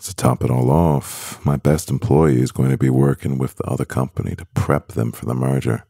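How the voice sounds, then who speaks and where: A man playing a stressed, devoted CEO boyfriend in an intimate ASMR roleplay, murmuring with a husky, gravelly voice and pauses. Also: a bass voice, steady tone, mellow, no echo, clean studio sound, full character voice. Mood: weary, tender.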